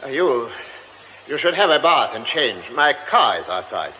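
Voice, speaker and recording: In a muffled old radio play, a man plays a formal, courteous gentleman who stammers as he presses his offer of help. His voice sits mid-range but moves a great deal. A faint hiss runs underneath. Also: mid-range voice, sing-song, moderate pace, lightly acted. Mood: insistent. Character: courteous insistent gentleman with a chauffeur. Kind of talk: radio drama acting.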